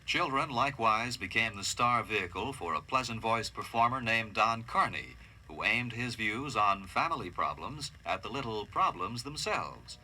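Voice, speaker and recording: Bright, slightly husky male narrator. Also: fairly low pitch, lively intonation, normal speed, few pauses, rough recording. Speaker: documentary narrator. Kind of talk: scripted narration about radio history.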